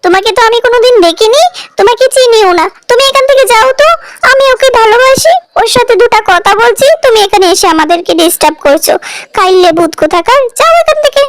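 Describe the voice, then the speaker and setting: A performer voicing a greedy, flattering young woman in a cartoon, coy and wheedling, a two-timing girlfriend. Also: very high voice, fast. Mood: dismissive. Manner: coy, wheedling, dismissive when challenged.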